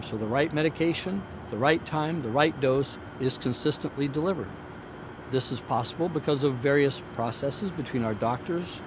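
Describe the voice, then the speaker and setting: A man giving a prepared hospital newsreel update in a formal, measured, deliberate way, like an executive addressing the community. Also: mid-range voice. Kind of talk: prepared newsreel presentation to camera. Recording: clear outdoor traffic and ambient noise.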